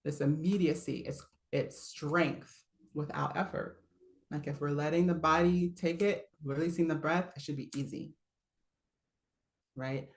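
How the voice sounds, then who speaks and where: A relaxed woman with a low voice coaches singers in a live online class. She chats easily, laughs often and breaks off to demonstrate sounds. The recording has a roomy echo around her. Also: a little slow. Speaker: voice teacher. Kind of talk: live online singing lesson.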